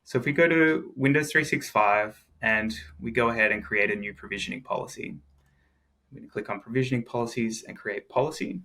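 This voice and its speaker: An Australian man guides a technical software demo in a relaxed, conversational way. His voice is bright and forward, his pitch sits in the middle range, and he fills his sentences with "uh" and "um" and small repeats. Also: fairly quick. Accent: Australian English. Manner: chatty, frequent "uh" and "um" fillers, repeats words. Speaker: product expert giving a demo. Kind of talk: casual technical demo walkthrough.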